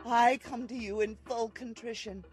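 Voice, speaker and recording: A woman's voice: on the high side, fairly even intonation, a little mellow, soft background music, natural voice. A woman playing a solemn, submissive voodoo villainess, chanting formally in a gothic audio drama. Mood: solemn, reverent. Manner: incantatory, deliberate, formal.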